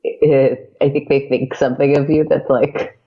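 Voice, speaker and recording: A woman's voice: very deep voice, level tone, moderate pace, lo-fi sound. A woman guest in a casual podcast interview, chatty, with many fillers and restarts.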